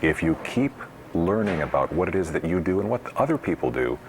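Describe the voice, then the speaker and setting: A man with an extremely deep voice gives advice in an interview. He speaks in short, plain, emphatic statements, with clear ambient noise around him. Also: very animated, a little fast. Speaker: air traffic controller and pilot. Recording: noisy background ambience.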